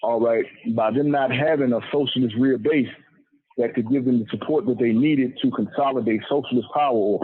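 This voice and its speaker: A man speaking off the cuff as a political lecturer, explaining in a didactic way and repeating listed phrases for emphasis. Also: slightly rough. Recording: muffled low-fidelity radio-like audio.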